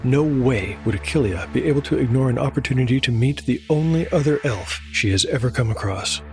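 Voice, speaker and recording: A man narrating a dark fantasy tabletop audiodrama in a measured, deliberate, scripted storytelling style. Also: medium pitch, animated, soft background music.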